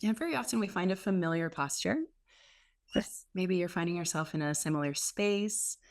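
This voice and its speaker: A woman leading a guided meditation as a teacher, speaking in an unhurried way with gentle pauses between phrases. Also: on the low side. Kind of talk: guided meditation, softly instructive.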